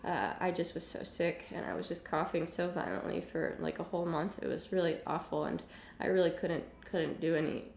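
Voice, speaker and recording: Young woman music teacher, hoarse and gravelly, casual chatty delivery, echoey home room. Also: fairly low pitch, a little fast, few pauses, a little hiss or hum. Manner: relaxed chatty with many ums, coughs now and then. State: hoarse from illness. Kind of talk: casual online lesson. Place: home room with piano and guitars.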